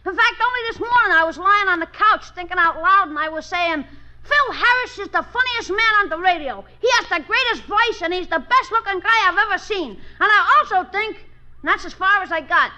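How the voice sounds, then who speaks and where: A man's voice: very high voice, animated, a little fast, bright, forceful tone, heavily acted. A man playing a sarcastic young delivery boy in an old radio comedy. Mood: deadpan sarcasm. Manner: sarcastic, insulting, mock-earnest. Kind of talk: 1950s radio comedy acting. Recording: old radio recording, faint noise.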